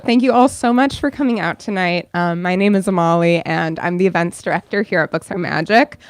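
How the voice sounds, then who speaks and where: A woman's voice: medium pitch, dry recording. A young woman, a bookstore events director, welcoming an audience and then reading her own fiction aloud at a store event.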